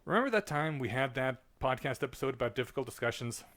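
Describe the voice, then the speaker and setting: A man's voice: mid-range voice, lively intonation, fast talker, a bit bright. Blunt, wry man hosting a podcast. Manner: blunt, wry, swears casually. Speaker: podcast host. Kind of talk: casual chat.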